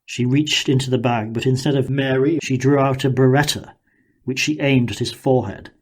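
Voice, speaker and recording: A man narrating dramatic fiction for an audiobook in a measured, deliberate way. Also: mid-range voice, fairly even intonation.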